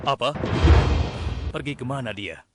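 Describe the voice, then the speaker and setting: A man's voice: mid-range voice, expressive, a little slow. A man playing a stern, authoritative prophet king with a regal, commanding manner in a children's cartoon. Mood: commanding, displeased. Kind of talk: children's animated religious story acting. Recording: loud music behind the voice.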